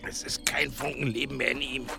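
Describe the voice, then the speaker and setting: An actor playing a loyal, practical monk in a radio drama, gravelly and sober. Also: male voice, medium pitch, level tone, fairly quick. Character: concerned, practical monk, loyal to his abbot. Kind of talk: German radio drama acting. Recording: loud music under radio drama.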